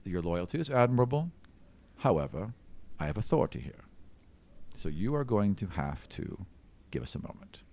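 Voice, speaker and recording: Fantasy tabletop role: male game master as self-assured dwarf seeker, formal, calmly authoritative, animated intonation. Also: fairly low pitch, gravelly. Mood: firm, imperious. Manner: measured, formal, faintly condescending.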